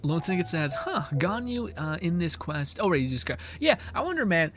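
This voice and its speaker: A man's voice: a little high, big pitch swings, brisk, a bit bright, a little hiss or hum. Playful, swearing male game streamer. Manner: playful, rambling, swears, laughs a lot. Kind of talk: casual livestream commentary.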